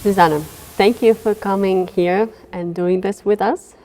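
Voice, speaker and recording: A woman's voice: medium pitch, fairly even intonation, unhurried, mellow, in a large hall, soft background music. A woman interviewer asking friendly, conversational questions in a car museum.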